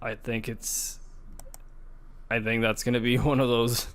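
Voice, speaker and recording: A man's voice: medium pitch, level tone, unhurried. A man co-hosting a podcast in casual, conversational gaming chat. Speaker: podcast co-host.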